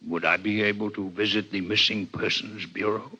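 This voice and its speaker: Polite alien scientist from old radio, very formal, lowish voice thats a bit husky, dull vintage sound. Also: male voice, steady tone, moderate pace, sharp tone. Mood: curious, polite. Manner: formal, precise, courteous speech. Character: courteous six-legged alien doctor visiting Earth, male. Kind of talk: 1950s radio drama acting.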